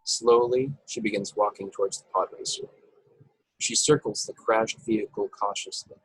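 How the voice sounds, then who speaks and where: A man with a husky voice reading stage directions as narrator of a script table read over a video call. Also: fairly low pitch, fairly even intonation, moderate pace, a bit bright, no room sound, rough recording. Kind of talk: scripted narration with occasional stumbles.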